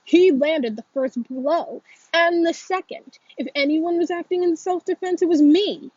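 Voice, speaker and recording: Woman as a schoolboy bully, mocking, growling, hostile, husky voice, storybook character. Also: a little high, expressive, slow, warm tone, no echo, highs cut off, exaggerated voice. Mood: outraged.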